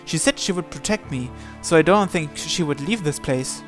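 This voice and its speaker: A man's voice: fairly high pitch, expressive, normal speed, music in the background. A man hosting a visual novel playthrough, reading the first-person narration aloud.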